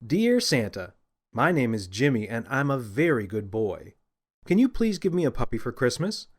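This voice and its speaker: A man's voice: medium pitch, sing-song, normal speed. A man reading as a naughty young boy, insistent and demanding, in a children's audiobook. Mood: sweetly pleading. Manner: indignant, bargaining, insistent. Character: cheeky, demanding, naughty little boy letter-writer.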